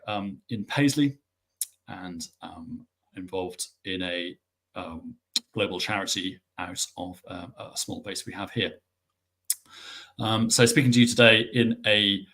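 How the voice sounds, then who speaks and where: Middle-aged man, measured explainer, lots of ums. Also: lowish voice, fairly bright tone. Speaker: guest. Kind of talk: explanatory interview answers.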